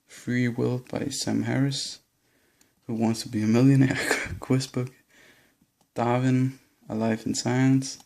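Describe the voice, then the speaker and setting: Halting young Danish man, gravelly. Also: mid-range voice, darkish tone. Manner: hesitant, halting listing with nervous laughs. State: nervous and shaky. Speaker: vlogger. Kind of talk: casual bookshelf tour.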